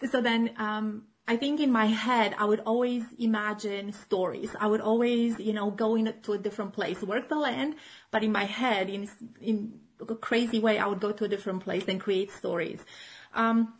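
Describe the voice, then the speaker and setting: A woman's voice: medium pitch, steady tone, moderate pace, low-quality recording. Chatty woman. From Romania. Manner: chatty, many fillers and restarts, laughs often. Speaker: children's author and teacher. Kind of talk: casual interview chat.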